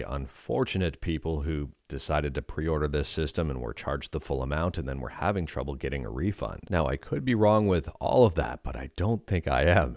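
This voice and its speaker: A Canadian man hosting a casual gaming and tech news roundup, talking conversationally and pausing on fillers when he reacts. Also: extremely low pitch, darkish tone, no echo, studio quality.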